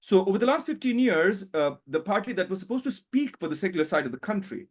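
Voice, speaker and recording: A man from Bangladesh, giving a conference presentation over a video call in a thoughtful way, often pausing with fillers. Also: high voice, sing-song. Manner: thoughtful, hedging with frequent fillers. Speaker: panel speaker, academic.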